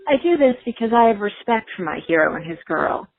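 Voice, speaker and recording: Female amateur reader, average pitch, reciting prose; close dry sound, somewhat dull fidelity. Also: sing-song, a little fast, no room sound. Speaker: listener contest entrant. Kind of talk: reading a book excerpt aloud.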